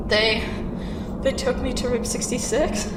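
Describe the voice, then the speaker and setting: A woman's voice: a little high, steady tone, unhurried, recorded from a distance, close to an everyday voice. A woman playing a terrified, pleading asylum patient in a horror drama. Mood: frightened, confused. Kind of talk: horror audio drama acting. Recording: noisy, echoing horror-film clip.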